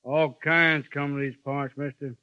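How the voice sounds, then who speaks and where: Forceful, drawling frontier sheriff. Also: male voice, fairly high pitch, level tone, unhurried, smooth, dry recording. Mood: wary, authoritative. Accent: American western. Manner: laconic, drawling, authoritative. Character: folksy, controlling frontier sheriff with quiet authority. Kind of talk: 1950s American radio western acting. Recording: muffled, dry vintage radio broadcast.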